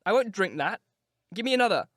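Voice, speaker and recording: A man's voice: sits high, sing-song, fairly quick, fairly bright tone, no room sound, studio quality. A man voicing a sickly, petulant young man who whines and makes demands. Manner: whining, demanding.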